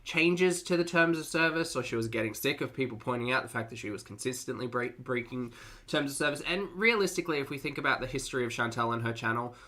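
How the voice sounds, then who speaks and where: Bright-voiced Australian man, chatty livestreamer. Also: medium pitch, fast. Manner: chatty and playful, with cutesy slang. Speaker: livestream host and reactor. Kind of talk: casual livestream chat with viewers.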